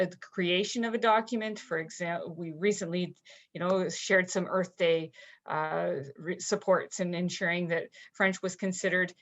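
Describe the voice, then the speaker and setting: A woman who works as a French second language consultant, speaking on a panel in a measured, slightly halting way. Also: lowish voice, fairly bright tone. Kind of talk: panel discussion.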